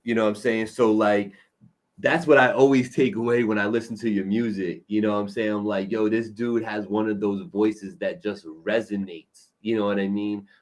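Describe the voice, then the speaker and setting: A man's voice: lowish voice, steady tone, moderate pace. Encouraging slangy male talk-show host. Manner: encouraging, slangy, keeps saying "you know what I'm saying". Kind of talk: casual talk show chat.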